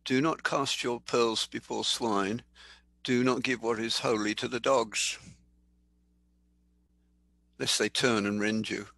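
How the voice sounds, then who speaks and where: Older man, sermon, conversational, gravelly, bright tone, dry close sound. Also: medium pitch, fairly even intonation, dry recording. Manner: thoughtful, conversational, reads scripture aloud slowly. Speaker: preacher. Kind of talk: sermon teaching.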